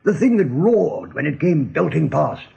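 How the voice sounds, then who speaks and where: A bold rabbit captain in a radio drama. His pitch leaps about over a creaky, husky rasp, on a slightly dull recording. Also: very animated, normal speed, warm tone, full character voice. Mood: insistent. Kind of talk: radio play acting.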